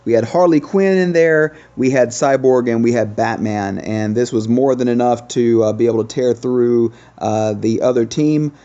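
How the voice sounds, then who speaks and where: A man's voice: medium pitch, normal speed, few pauses, dark tone, muffled recording. A man doing casual, explanatory game commentary as the host of a gaming video.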